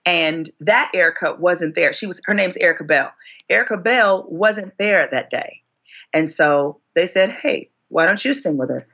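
A woman in her forties, a singer being interviewed, telling her story expressively, her voice climbing high whenever she relives a moment. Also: lively intonation, moderate pace, fairly bright tone, muffled recording. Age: 40s. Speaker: guest singer.

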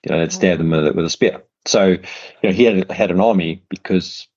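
Fast-talking South African man, lo-fi. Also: deep voice, a little mellow. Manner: rambling, repeats words, fast restarts. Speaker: podcast host. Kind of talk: casual interview chat.

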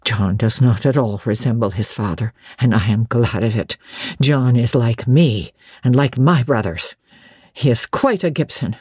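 A narrator voicing a bitter, ailing older gentlewoman in a storybook reading, querulous and scornful as she rambles through her grievances. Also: medium pitch, measured pace, breathy edge, no echo, studio quality, pitched below the performer's own voice. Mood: fretful, despairing. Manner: querulous, scornful, agitated muttering.

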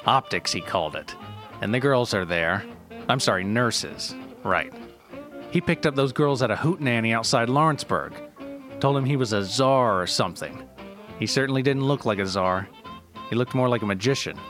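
A man's voice: mid-range voice, lively intonation, normal speed, music in the background, natural voice. A man playing an exasperated elderly race director, rambling and grumbling conversationally through a reminiscence in an acted interview. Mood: exasperated reminiscing.

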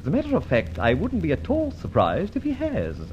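A muffled old radio serial. a suave gentleman adventurer, jaunty and self-assured, his slightly high voice swooping widely. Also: wildly swooping pitch, brisk, a little hiss or hum. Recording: muffled old radio recording, faint music.

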